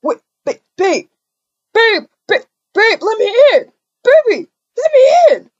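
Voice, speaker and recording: A woman's voice: very high-pitched, very animated, takes it slowly, dry recording, lo-fi sound, voice pushed up, heavily acted. A woman playing a pleading, cheating partner in an amateur game roleplay, stammering and repeating pet names in a wheedling way. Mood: panicked, pleading. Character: pleading, whiny cheating partner begging forgiveness.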